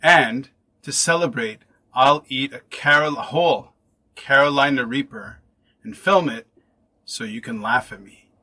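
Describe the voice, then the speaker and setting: A man hosting a podcast, chatting casually and playfully with quick interjections. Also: mid-range voice, bright, forceful tone, poor audio. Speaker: podcast host.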